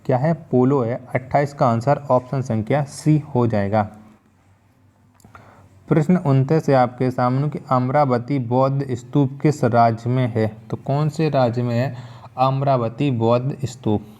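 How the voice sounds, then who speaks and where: A man with a medium-pitched voice works as an exam-prep teacher, presenting a quiz-style lesson. He is methodical, reading each question out and restating it before he gives the answer. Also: fairly even intonation, unhurried, mellow.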